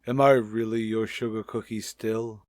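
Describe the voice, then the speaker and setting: An actor playing a grumpy, pompous older husband, grumbling in the manner of an old radio sitcom. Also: male voice, on the low side, flat delivery, moderate pace, darkish tone, no echo, clean studio sound, lightly acted. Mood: amorous. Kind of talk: 1940s-50s radio sitcom acting.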